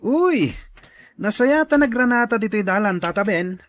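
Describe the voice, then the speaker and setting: A man plays a crooked dealer in an audio drama, jovial and persuasive. His voice sits fairly high and moves around a lot, recorded dry and close. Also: animated, normal speed, dry recording. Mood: coaxing. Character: scheming, jovial male drug dealer luring poor neighbours.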